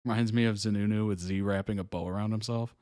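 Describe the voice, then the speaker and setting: A man's voice: on the low side, fairly even intonation, fast, a little mellow, dry recording. Amused man reading captions, dry sound. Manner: reads on-screen captions aloud, then reacts with amused asides; laughs often between remarks. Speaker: reaction video host. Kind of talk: casual live reaction commentary.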